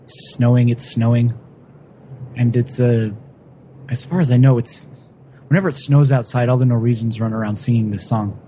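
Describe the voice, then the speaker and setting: A man's voice: mid-range voice, moderate pace, long pauses, slight background noise, rough recording. A man in casual conversation, talking easily with some hesitation about holiday traditions abroad. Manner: relaxed, hesitant, sings a folk tune briefly. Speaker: guest sharing Christmas songs. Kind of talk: casual chat.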